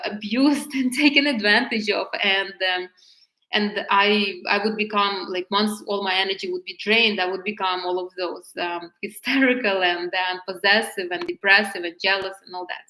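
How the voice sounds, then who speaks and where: A woman's voice: mid-range voice, fairly bright tone. A woman coaching on femininity in a casual, chatty way, laughing often and restarting her sentences, with English. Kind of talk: casual teaching talk, answering live chat. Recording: roomy echo.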